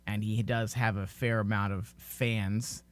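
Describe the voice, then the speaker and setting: A man's voice: lowish voice, flat delivery, a little slow. A man hosting a fan podcast in casual discussion, emphatically repeating phrases to make his point. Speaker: podcast host.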